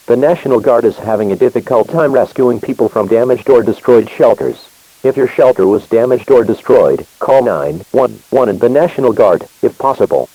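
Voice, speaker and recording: A man's voice: medium pitch, warm tone, no echo, rough recording. A man reading a scripted emergency broadcast announcement in a formal, impersonal manner.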